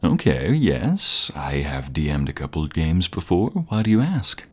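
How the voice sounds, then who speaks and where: Man playing a low-voiced, animated dorky boyfriend. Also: normal speed, slightly rough, heavily acted. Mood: eager, playful. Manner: playful, teasing, enthusiastic, stammering and giggly. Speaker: audio roleplay performer. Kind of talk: intimate boyfriend roleplay, casual improvised-sounding chat.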